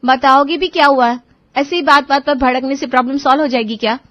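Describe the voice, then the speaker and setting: A woman's voice: high voice, fairly even intonation, fast, voice pushed up, close to an everyday voice. A woman voicing a young newlywed wife who answers her irritable husband defensively but patiently, in a dull lo-fi recording. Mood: coaxing. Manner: defensive, reasoning.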